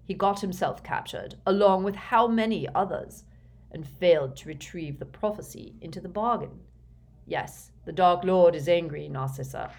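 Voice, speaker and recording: A woman voicing a cold, controlled, calculating man in an audiobook, speaking flatly, slowly and quietly. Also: on the low side, vocal fry. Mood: flat, stern. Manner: flat, slow, quiet, measured.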